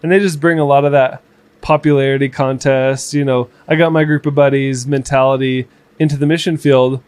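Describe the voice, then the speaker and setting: A man's voice: mid-range voice, fairly even intonation, a little fast, darkish tone, clean tone, dry recording. A man in his thirties, co-hosting a casual home podcast, telling a long story with many fillers and restarts. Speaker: podcast co-host. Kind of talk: casual chat. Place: home room.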